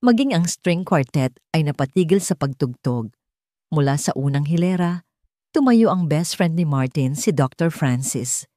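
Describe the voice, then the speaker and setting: A woman's voice: sits low, lively intonation, moderate pace, mellow, no echo, clean studio sound. A woman with a Filipino accent reading a scripted, dramatic story as an audiobook narrator.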